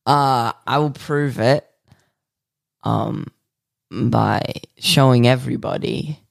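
A man with a gravelly, creaky voice drawls through silly made-up tales at an unhurried crawl. His delivery is deadpan and full of ums and restarts. The sound is dry and close to the mic. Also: medium pitch, no room sound. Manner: slow, rambling, deadpan nonsense with many fillers. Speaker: podcast co-host. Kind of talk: absurdist improvised banter.

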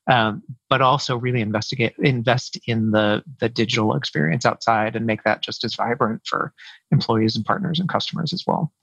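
A man talks through interview answers, often pausing and restarting his sentences. His voice sits dry and close with no room around it. The audio is a bit dull, as if through a modest mic. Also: mid-range voice, gravelly, a bit bright, breathy edge, no echo. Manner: hesitant, many fillers and restarts. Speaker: head of sales, podcast guest. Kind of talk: conversational interview.

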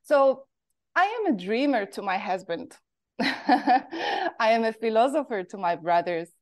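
A woman from Morocco with a Moroccan accent, telling her life story as an interview guest in a thoughtful, halting way, her pitch rising and falling. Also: sing-song. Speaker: guest, artist.

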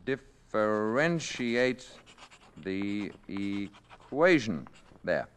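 An actor playing a calm, courteous spaceman posing as a gentleman in a 1950s radio drama, measured and quietly assured. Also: on the low side, a little hiss or hum, exaggerated voice. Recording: 1950s radio broadcast recording.

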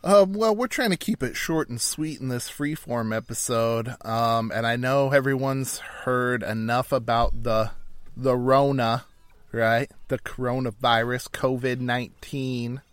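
A man's voice: medium pitch, unhurried, clear, resonant tone, no echo. A man co-hosting a podcast in casual, joking, informal banter. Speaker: podcast co-host.

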